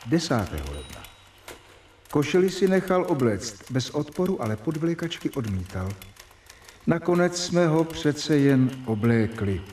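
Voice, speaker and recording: An actor playing a young doctor who reads his research diary aloud in a measured, earnest way in a radio drama. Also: a little high, big pitch swings, a little mellow. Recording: distant mic with faint hiss.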